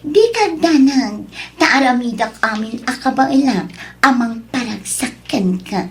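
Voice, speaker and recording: Woman acting bratty scheming mistress in ilocano radio drama, high voice, coaxing then snappy. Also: lively intonation, unhurried. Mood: coaxing, demanding. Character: demanding, selfish mistress, brazen and scheming.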